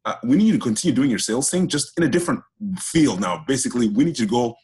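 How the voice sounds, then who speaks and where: A man's voice: mid-range voice, expressive, rapid-fire, a little mellow, slightly rough. A middle-aged man who grew up in Ghana and Greece, talking chattily as a guest with many restarts. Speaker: guest, HR director. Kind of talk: casual interview talk.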